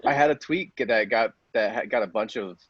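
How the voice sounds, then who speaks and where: A man's voice: lowish voice, fast talker, fairly bright tone, slightly rough, no room sound. A man in casual, slangy, self-deprecating conversation as a podcast cast member. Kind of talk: casual chat. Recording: muffled, dull lo-fi podcast audio.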